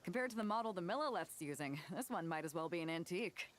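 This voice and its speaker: A woman's voice: lowish voice, animated, fast, close to an everyday voice. An actress playing a bold, easygoing female sea captain in a video game, with hearty, colloquial swagger. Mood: proud. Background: game music.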